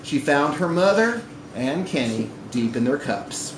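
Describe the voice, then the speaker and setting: A man reading literary fiction aloud to a live audience in a bar, with an expressive, theatrical delivery. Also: fairly high pitch, unhurried. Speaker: story reader at a live literary event. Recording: echoey live room recording with background noise.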